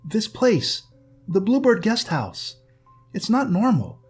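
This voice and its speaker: A man voicing a brisk, capable woman housekeeper who speaks firmly and matter-of-factly. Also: sits high, huge pitch swings, breathy edge, soft background music, pitched above the performer's own voice, heavily acted. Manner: firm, matter-of-fact.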